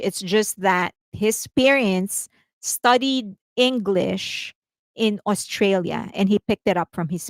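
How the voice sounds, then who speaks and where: A chatty Filipino woman with a Filipino accent, hosting a casual commentary stream. She explains at length, reads viewer comments aloud and laughs often. Her voice sits mid-pitch and sounds dry and close to the mic. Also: dry recording. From Philippines. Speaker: host.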